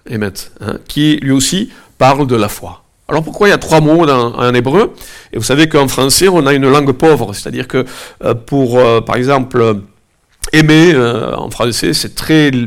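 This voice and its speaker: A man with a head cold giving a relaxed, conversational sermon to his congregation, with many fillers and asides, also leading a prayer. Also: mid-range voice. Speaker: preacher. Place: church gathering, also streamed online.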